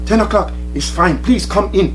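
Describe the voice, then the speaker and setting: A man's voice: high voice, moderate pace, voice pushed up, lightly acted. A man doing an impression of a polite Japanese male interviewer speaking in short, courteous phrases, with music underneath. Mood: eager.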